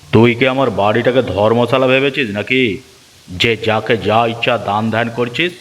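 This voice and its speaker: A man's voice: mid-range voice, fairly even intonation, normal speed, a bit bright, long reverb tail, natural voice. A man acting a harsh, scolding old grandfather. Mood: angry, scornful. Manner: scornful, berating, mocking. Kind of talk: cartoon story voice acting. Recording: background music.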